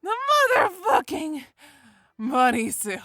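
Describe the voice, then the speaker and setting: A woman's voice: sits high, fairly bright tone, slightly rough, dry recording, clean studio sound, heavily acted. A woman playing a bitter, put-upon daughter in a rambling comic monologue, exasperated in manner.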